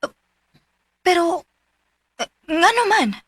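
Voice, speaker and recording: An actress playing a gentle, devout woman who gently coaxes a troubled friend to open up, in a radio drama. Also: extremely high pitch, sing-song, takes it slowly, no room sound, exaggerated voice. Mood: caring. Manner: warm, coaxing, concerned.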